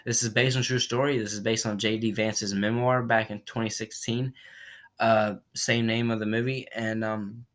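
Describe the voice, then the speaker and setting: A man giving a casual spoken movie review while recovering from a cold, rambling and often clearing his throat. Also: on the low side, little pitch movement, moderate pace. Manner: rambling, repeats himself, frequent throat clearing.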